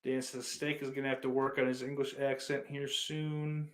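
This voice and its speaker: A man's voice: mid-range voice, level tone, normal speed. Blunt, foul-mouthed man hosting a podcast. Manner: profane, blunt. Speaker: podcast host. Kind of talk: casual chat, reading out and answering listener comments.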